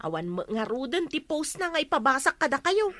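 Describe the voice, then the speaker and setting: A woman acting a gossipy Ilocano village woman in a comedy drama. Her voice sits very high and is recorded close and dry. She talks in a chatty, teasing way. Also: sing-song, brisk, bright, forceful tone, dry recording, full character voice. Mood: coaxing.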